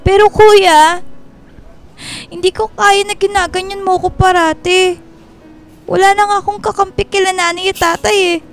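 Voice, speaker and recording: A woman's voice: extremely high pitch, fairly even intonation, moderate pace, pauses often. A woman playing a timid, hurt young girl with a Filipino accent in a radio drama, hesitant and pleading. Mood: tearful pleading. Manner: hesitant, meek and polite, pleading. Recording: music bed behind the voice.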